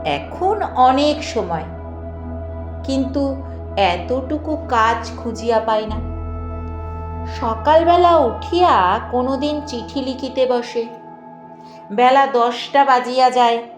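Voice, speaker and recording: A woman narrating a literary novel in a measured, expressive way with deliberate pauses. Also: sits high. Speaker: audiobook narrator. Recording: echoey voice with music behind. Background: music.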